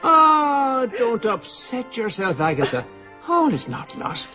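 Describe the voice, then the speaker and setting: A man's voice: extremely high pitch, big pitch swings, slow, exaggerated voice. A down-and-out husband in a radio drama, cheeky and leering. His delivery swoops widely. The sound is slightly dull. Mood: taunting. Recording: dull radio recording, faint music behind.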